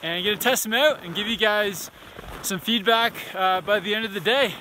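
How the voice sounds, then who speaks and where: An upbeat young man talks to his viewers while out on a snowy mountain, his voice high and bright. He holds the action camera at arm's length, and a faint hiss of wind sits under the outdoor sound. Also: normal speed, low-quality recording. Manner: upbeat, addresses viewers as "guys", whoops. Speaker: snowboard vlogger. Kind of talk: casual on-mountain vlog narration. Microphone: action camera held at arm's length.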